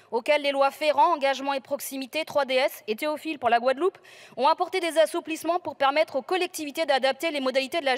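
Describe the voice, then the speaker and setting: Female politician, very high voice, reading a formal debate speech firmly. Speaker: politician speaking in a parliamentary debate.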